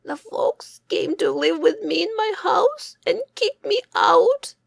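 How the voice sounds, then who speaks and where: A woman's voice: extremely high pitch, sing-song, slow, vocal fry, no room sound, clean studio sound, pitched above the performer's own voice, exaggerated voice. A woman voicing a sad, meek little hare in a plaintive storybook voice. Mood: tearful, upset.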